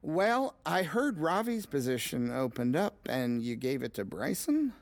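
An actor playing a nervous, smitten, hapless low-level ad man in an audio drama, rambling and scolding himself. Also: very animated, measured pace. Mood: protesting. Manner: flustered, rambling, self-scolding. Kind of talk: audio drama acting with confiding inner monologue.

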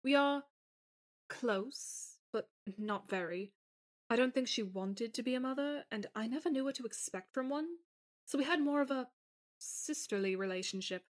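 A woman's voice: sits high, animated, normal speed. A woman voicing a tender woman reunited with her lost lover in a fan fiction podcast, speaking in careful, formal phrases full of feeling. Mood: reflective. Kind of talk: dramatised fan fiction reading.

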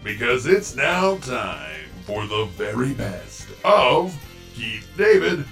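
A man's voice: mid-range voice, very animated, slow, fairly bright tone, distant mic, soft background music. A man hosting as a podcast announcer in a pompous, theatrical, scripted comedy style. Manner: pompous, bombastic, exasperated showman delivery.

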